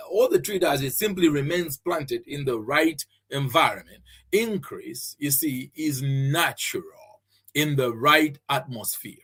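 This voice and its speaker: A man's voice: a little high, fairly bright tone, breathy edge. A man from Zambia hosting a faith-based podcast, teaching earnestly, repeating his key phrases and often restarting with fillers. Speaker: podcast host and preacher.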